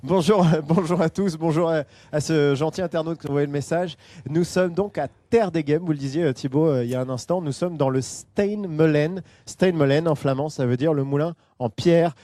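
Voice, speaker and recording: A man reporting on location, jovial and speaking in a measured, deliberate way as he interviews a guest. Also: on the high side, warm tone, dry recording.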